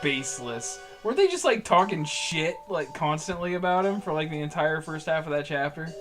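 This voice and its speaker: A man's voice: on the high side, animated, fairly quick, fairly bright tone, faint music behind. A young man bantering casually while gaming, offhand, sarcastic and sweary. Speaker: co-host playing a video game.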